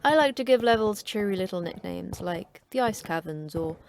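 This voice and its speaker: Terse facility manager over noise. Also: female voice, medium pitch, lively intonation, moderate pace, a little mellow. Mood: dry, brisk. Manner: terse, dry, matter-of-fact. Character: terse female manager of a secret facility of monsters. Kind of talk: sci-fi horror audio drama acting. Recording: clear background noise.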